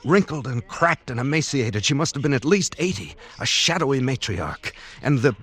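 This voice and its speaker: Earnest poet narrator. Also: male voice, mid-range voice, big pitch swings, normal speed, a bit bright. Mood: awed, uneasy. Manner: earnest, literary, dramatic. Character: sensitive, polite young male poet, narrating. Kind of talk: old-time radio drama narration.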